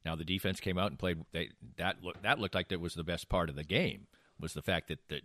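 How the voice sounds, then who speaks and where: A man's voice: deep voice, steady tone, a little fast, pushed and bright, dry recording, studio quality. An older man hosting a casual podcast, telling anecdotes in a rambling way and restarting his sentences mid-thought. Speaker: podcast host.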